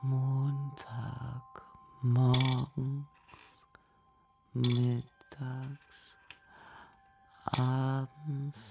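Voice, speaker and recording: An actress in a radio drama playing a numb, medicated mother who recites mechanically and listlessly in a monotone. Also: rumbling low, drawn-out words, vocal fry, pauses often, loud music behind the voice, full character voice.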